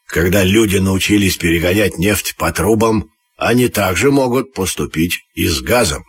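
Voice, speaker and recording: Ancient female crow for a storybook tale, played by a man: low, husky, knowing, schooling the young. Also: moderate pace. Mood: knowing, patronizing. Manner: knowing, instructive. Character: two-hundred-year-old female crow, knowing and unhurried explainer.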